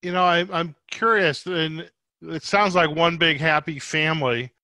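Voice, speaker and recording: A man's voice: fairly high pitch, fairly even intonation, unhurried, sharp tone, dry recording. A man hosting a podcast interview, asking conversational questions in a roundabout, rephrasing way.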